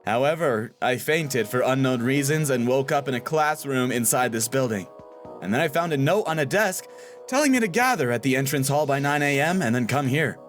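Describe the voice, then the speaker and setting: A man's voice: medium pitch, animated, brisk, faint music behind, lightly acted. A man voicing a bossy young female student leader in a video game, commanding.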